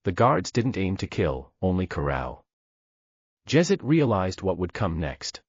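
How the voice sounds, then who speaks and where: Male narrator, very deep voice, lively dramatic delivery, dull lo-fi sound. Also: unhurried, slightly rough, dry recording. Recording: dull dry lo-fi recording.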